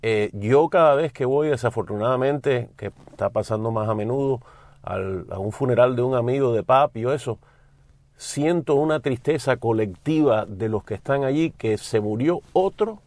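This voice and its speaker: A man's voice: medium pitch, moderate pace, a little mellow, dry recording. A middle-aged man from the United States, a studio guest speaking earnestly and with feeling. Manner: earnest, heartfelt. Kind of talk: interview talk.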